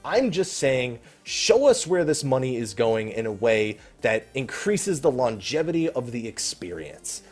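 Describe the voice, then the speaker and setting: A man speaks as the host of an informal gaming Q&A, with music playing faintly behind him. His pitch sits in an average range but moves around a lot, giving an animated sound. He talks off the cuff, rambling conversationally. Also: measured pace, hardly any pauses. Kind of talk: casual unscripted Q&A commentary, reading out viewer questions. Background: faint background music.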